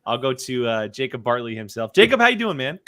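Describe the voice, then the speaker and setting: A man's voice: medium pitch, big pitch swings, quick pace, fairly bright tone. A man hosting a casual live group chat, with a teasing, playfully exasperated way of talking. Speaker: host.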